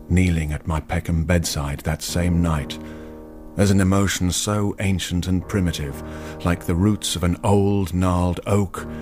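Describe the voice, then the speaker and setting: Slow, low, wistful man narrating. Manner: solemn, wistful, florid. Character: young, timid, lovestruck male clerk narrating his memories. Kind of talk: literary first-person radio drama narration. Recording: piano music behind the voice. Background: piano music.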